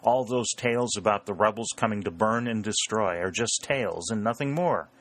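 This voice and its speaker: A man voicing a brash young rebel soldier in an audiobook, sharp, commanding and wry. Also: lowish voice, moderate pace, no echo, natural voice. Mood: sharp, insistent. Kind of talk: audiobook character voice.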